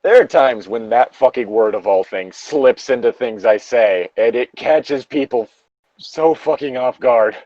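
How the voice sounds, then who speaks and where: A man's voice: medium pitch, a little slow, a little mellow, dry recording, dull audio. A man reading a story aloud casually, with profane, self-interrupting asides and banter as a co-host. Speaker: co-host reading and riffing on internet horror stories.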